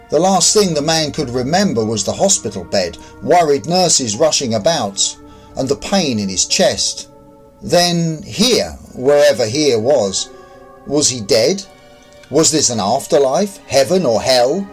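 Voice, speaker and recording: A man's voice: mid-range voice, low-quality recording. A man who is an author narrating his own story in a scripted audiobook style.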